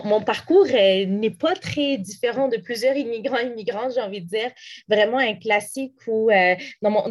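A young woman from Morocco, a native Arabic speaker, answering interview questions earnestly with frequent restarts and fillers. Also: mid-range voice. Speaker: interview guest, community activist.

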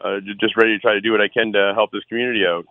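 A middle-aged man from Six Nations in Canada calls into a radio show as a council candidate. His voice sits low and comes through a thin, phone-like line with no room around it. He talks earnestly and loudly, filling gaps with uh and circling back on himself. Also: flat delivery, rapid-fire, no echo. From Six Nations, Ontario, Canada. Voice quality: loud voice. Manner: frequent uh fillers and restarts, earnest. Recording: dry tinny phone line.

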